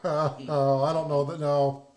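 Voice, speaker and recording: A man hosting a casual podcast chat, loud and booming, who laughs often while asking the questions. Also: level tone, slow. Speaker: podcast host.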